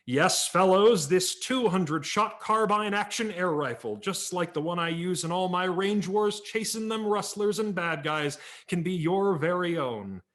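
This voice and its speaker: Guy putting on a big folksy cowboy hero, booming sales pitch, kinda high. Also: expressive, measured pace, hardly any pauses, fairly bright tone. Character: hearty cowboy hero in a magazine ad.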